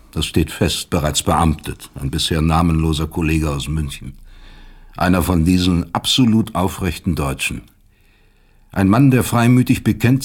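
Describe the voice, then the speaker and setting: Elderly psychiatry professor, very low pitch, husky, bitter, articulate, sardonic, radio play. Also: male voice, a little mellow. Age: older. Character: proud, bitter old East German psychiatry professor. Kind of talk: German radio play acting.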